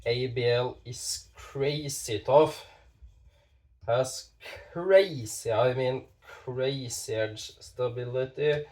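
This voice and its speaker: A man with a stuffy nose from a cold, talking slowly while demonstrating knife sharpening, with halting pauses and self-corrections. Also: medium pitch, darkish tone, distant mic. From Norway. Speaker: knife sharpening channel host. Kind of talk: casual hands-on demonstration.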